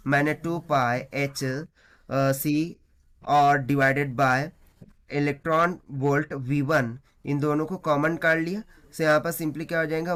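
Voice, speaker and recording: A man's voice: fairly high pitch, a bit bright, clear, resonant tone. A man with an Indian accent working through a physics problem step by step as a tutor, explaining as he mixes Hindi and English.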